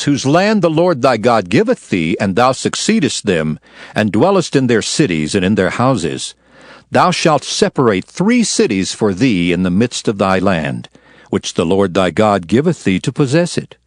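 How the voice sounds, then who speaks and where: A man's voice: mid-range voice, no echo, clean studio sound. A man narrating dramatized scripture in a solemn, declamatory manner.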